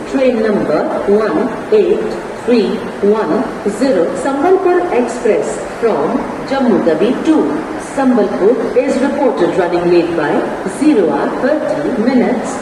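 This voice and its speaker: A woman with an Indian accent making formal, scripted train announcements in measured, stitched-together phrases over a railway station public-address system. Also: mid-range voice. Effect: station public-address loudspeaker. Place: railway station, recorded from afar off loudspeakers. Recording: distant, very echoey reverberant station PA, clear noise. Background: station ambience and noise.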